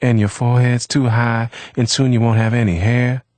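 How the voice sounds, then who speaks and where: A man voicing an affectionate American man who teases his companion in an easy, relaxed way. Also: mid-range voice, moderate pace, no echo, voice pushed up, natural voice. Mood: teasing.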